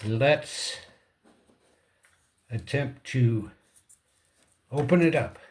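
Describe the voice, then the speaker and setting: A man casually hosting a hands-on repair demonstration, talking in short, halting phrases as he thinks aloud. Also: medium pitch. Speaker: repair tutorial host.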